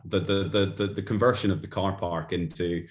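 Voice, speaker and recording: A man's voice: sits low, fairly even intonation, moderate pace, echoey room. A man with a Glaswegian accent, a guest author chatting in an interview, often stammering and repeating words as he starts sentences. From Glasgow, Scotland. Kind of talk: casual interview chat.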